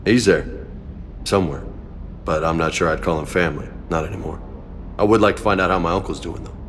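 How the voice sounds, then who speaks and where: An actor playing a brash, blunt young marine in a video game, casual and slangy in manner. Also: male voice, low voice, a little fast, a bit bright, soft background music. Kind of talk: video game dialogue acting. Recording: long reverb, faint background noise.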